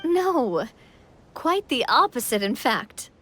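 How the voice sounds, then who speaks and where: Young fantasy game monarch, female: high register, kind, composed, earnest, faint background noise. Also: sing-song, a bit bright, exaggerated voice. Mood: gentle, earnest. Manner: earnest, reassuring, measured speech. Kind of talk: English-dubbed game cutscene acting.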